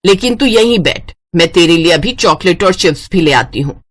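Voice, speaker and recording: A woman's voice: on the low side, lively intonation, moderate pace, no echo, studio quality. A woman voicing a scheming, deceitful old grandmother in a cartoon story, calculating and falsely coaxing. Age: older.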